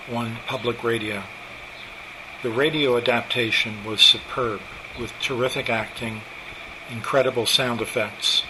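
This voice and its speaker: A man in his seventies with an American accent, reading a scripted personal narration. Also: mid-range voice. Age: 70s. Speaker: narrator, old-time radio enthusiast. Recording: steady hum of room noise.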